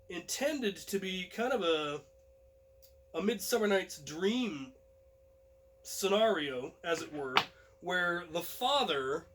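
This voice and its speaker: A man telling a personal anecdote casually, in an animated storyteller's way. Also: high voice, low-quality recording. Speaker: storyteller, host of a story series.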